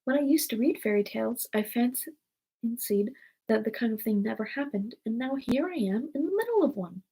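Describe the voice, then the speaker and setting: A woman's voice: fairly high pitch, animated, fairly quick, mellow, husky, poor audio. A woman voicing a thoughtful little girl from a storybook, wondering and debating with herself.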